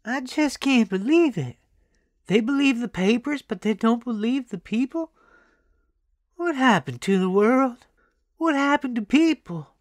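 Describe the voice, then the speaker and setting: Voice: mid-range voice, expressive, a little slow, dry recording, studio quality, pitched above the performer's own voice, lightly acted. A narrator voicing a kindly, chatty old grandmother in an audiobook, motherly and emphatic. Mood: indignant.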